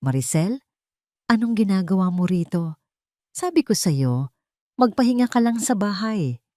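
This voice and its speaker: A woman's voice: mid-range voice, very animated, measured pace, warm tone, no room sound, studio quality. A woman voicing an arrogant, blustering cheating husband who tries to command in an audio drama.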